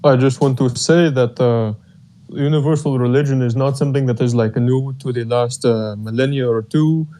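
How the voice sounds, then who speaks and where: A man from Albania who speaks English with an Albanian accent, hesitantly explaining history in a casual online group chat, with many pauses. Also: mid-range voice, fairly even intonation, warm tone. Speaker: participant. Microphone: online audio chat.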